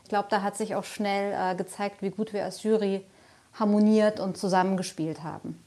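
A woman's voice: mid-range voice, steady tone, moderate pace, mellow. A woman on a jury giving an unscripted interview answer, hesitating and repeating words as she thinks. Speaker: jury member.

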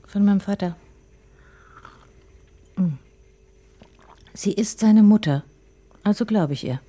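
An actress in a radio play playing a detective's self-assured wife, dry and matter-of-fact, with a low, mellow voice. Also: female voice, slow.

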